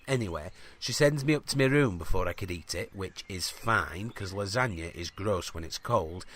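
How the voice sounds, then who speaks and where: A man's voice: low voice, expressive, normal speed, a bit bright. Man playing a rambling farm lad. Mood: aggrieved. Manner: hesitant, rambling, colloquial. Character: earnest, rambling teenage farm boy, easily sidetracked. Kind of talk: scripted comedy audio fiction.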